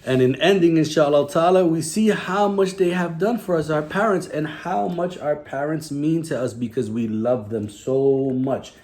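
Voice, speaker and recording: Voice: mid-range voice, normal speed, few pauses, darkish tone. A religious teacher giving children a lesson in simple phrases, asking questions and prompting them to repeat. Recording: clear background noise.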